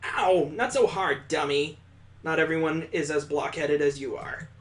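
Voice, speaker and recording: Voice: medium pitch, measured pace, soft background music, close to an everyday voice. A streamer playing rowdy, bratty kid. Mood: annoyed. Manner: boisterous, exclaiming. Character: rowdy, playful, bratty young children.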